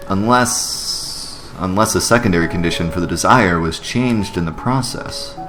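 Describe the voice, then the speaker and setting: A man voicing a weary, wry professional in a story video game, speaking in a dry, deliberate manner. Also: low-pitched, lightly acted. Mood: pensive, puzzled. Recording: music behind the voice.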